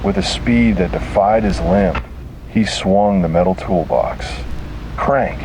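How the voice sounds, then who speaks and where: Male horror narrator, very low register, dramatic and deliberate, on a noisy found-tape recording. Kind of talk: scripted first-person horror narration.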